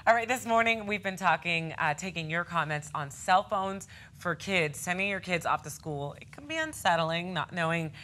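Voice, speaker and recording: Slightly low-voiced female morning news anchor. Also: expressive, normal speed, hardly any pauses, sharp tone. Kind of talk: conversational morning news introduction.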